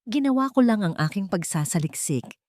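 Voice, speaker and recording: A woman's voice: medium pitch, lively intonation, brisk, a little mellow, no room sound, clean studio sound, lightly acted. A woman reading a calculating woman therapist. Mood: feigned concern. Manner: carefully professional, feigned concern. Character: calculating female therapist, smooth and insinuating.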